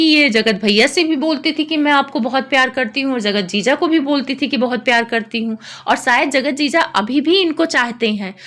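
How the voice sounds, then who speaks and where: A woman vlogger giving informal, opinionated commentary on other people's disputes, in an accusatory and repetitive way. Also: high voice. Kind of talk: informal gossip commentary, recapping and judging a dispute.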